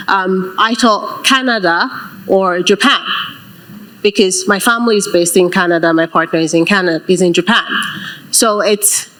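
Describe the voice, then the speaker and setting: A woman talks as a guest on a conference panel stage. She hesitates often and restarts her sentences. Her pitch sits in the middle of the usual range. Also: fairly bright tone, poor audio. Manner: hesitant, many ums and restarts. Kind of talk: panel discussion answers.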